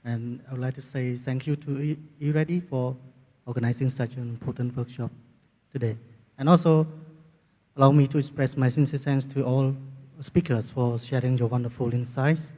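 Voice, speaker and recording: A man's voice: medium pitch, fairly even intonation, moderate pace, warm tone. A man from Cambodia with a Cambodian accent, giving courteous, formal remarks at a round table. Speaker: government trade official. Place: large reverberant meeting room. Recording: long hall reverb.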